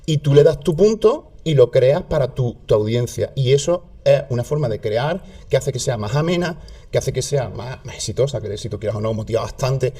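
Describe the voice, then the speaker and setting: A man with a southern Spanish accent, a guest in a casual interview, talking in an animated way with many restarts. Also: medium pitch, brisk. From southern Spain. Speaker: health content creator guest.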